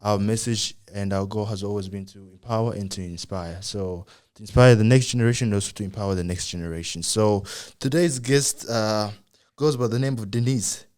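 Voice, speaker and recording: A man's voice: on the low side, brisk, darkish tone. A young adult man hosting an interview show, speaking casually with many uhs and restarts. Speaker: podcast host.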